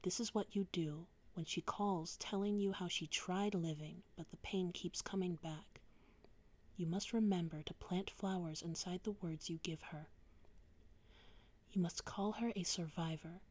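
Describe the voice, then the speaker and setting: A young woman reads poetry for ASMR in a hushed, breathy whisper, drifting nearer and farther from the microphone. She takes her time over every line, unhurried and slow. When her voice rises above the whisper, it sits a little low. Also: fairly even intonation. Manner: soft unvoiced whispering, varied mic distance.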